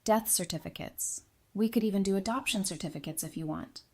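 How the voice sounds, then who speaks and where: A woman's voice: mid-range voice, fairly quick, a little mellow, natural voice. A woman playing an earnest, organized newlywed archivist in a fan audio drama, articulate and wry. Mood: businesslike.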